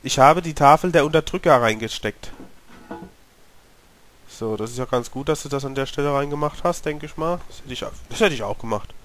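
A German-speaking man with a cold, slightly hoarse, giving chatty commentary while playing a horror game and talking to his character. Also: medium pitch, brisk, fairly bright tone. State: has a cold, clearing his throat. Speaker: let's play gamer. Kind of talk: casual gameplay commentary.

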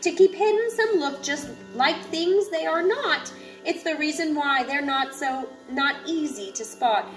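A woman in her thirties reading a children's picture book aloud in a deliberate, instructive way, now and then stumbling over a word. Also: sits high, faint music behind. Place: home living area with kitchen, indoors. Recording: noisy home recording, room ambience.

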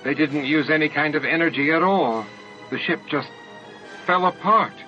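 A man's voice: fairly high pitch, normal speed, soft background music, pitched above the performer's own voice, close to an everyday voice. A man voicing a thoughtful, insistent female first officer giving precise reports in a science fiction audiobook. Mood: stunned. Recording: dull lo-fi old audiobook tape.

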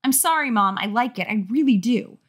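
Huffy young girl, acted by a woman, raised lively pitch, defensive, insistent, exasperated, comic storybook. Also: brisk, slightly rough. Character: exasperated, defensive, easily scared schoolgirl. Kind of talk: hammy comic audiobook reading.

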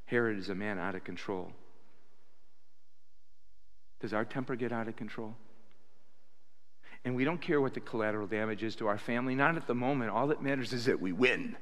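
A man in his sixties preaching a sermon at a pulpit, animated, with bursts of loud acted quotes, speaking into a stand mic in a church sanctuary. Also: lowish voice, a little fast, pauses often. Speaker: pastor. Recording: reverberant church hall.

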